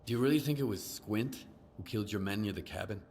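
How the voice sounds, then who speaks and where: A man playing a gruff, wary lone stalker in a video game, terse and guarded. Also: fairly low pitch, fast talker, a little mellow, slight background noise, natural voice. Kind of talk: video game character dialogue.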